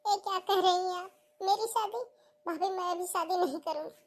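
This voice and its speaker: A woman's voice: extremely high pitch, normal speed. A woman voicing a pleading, plaintive young daughter in a cartoon family melodrama. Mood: worried. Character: pleading, tearful young daughter who wants to study.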